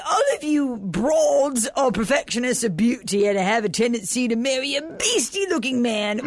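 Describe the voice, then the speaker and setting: A woman's voice: sits high, background noise, full character voice. A woman playing a drunk, rambling astrologer who is crude and self-mocking, talking in slurred, giggly bursts for a comedy radio skit. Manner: slurring, giggly, swooping loud outbursts. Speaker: comic horoscope contributor.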